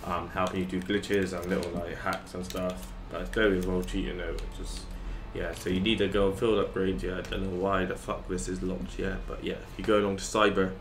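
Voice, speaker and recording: A man's voice: deep voice, level tone, brisk, few pauses, background noise. A young man, rambling casually in swear-laced commentary over his gameplay video. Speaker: gaming YouTuber.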